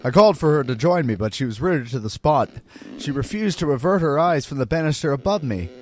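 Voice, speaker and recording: A man's voice: on the high side, animated, natural voice. A man playing a frightened husband and father, recounting a haunting in grave, dramatic first-person horror narration. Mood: panicked urgency. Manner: grave, dramatic, deliberate phrasing. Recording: loud music under voice.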